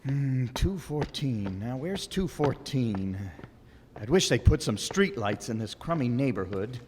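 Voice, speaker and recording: A man's voice: mid-range voice, animated, unhurried, darkish tone, lightly acted. A man playing a grumpy, exhausted radio husband. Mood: muttering. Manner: grumbling, put-upon, stammering. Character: John, a grumpy, exhausted middle-aged husband. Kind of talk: old-time radio comedy acting. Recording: live stage recording with long reverb and faint noise.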